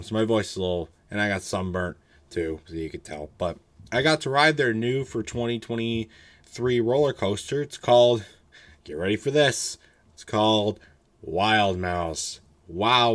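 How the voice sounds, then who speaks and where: Hoarse low-voiced man rambling casually. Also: a bit bright. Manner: rambling, filler-heavy, candid. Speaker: roller coaster reviewer. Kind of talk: casual solo review.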